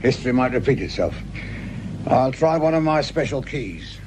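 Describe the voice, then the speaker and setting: An actor playing a grumbling, sardonic, corner-cutting British police sergeant in a radio drama, full of world-weary asides. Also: male voice, medium pitch, very animated, moderate pace, a little mellow, slight background noise, natural voice.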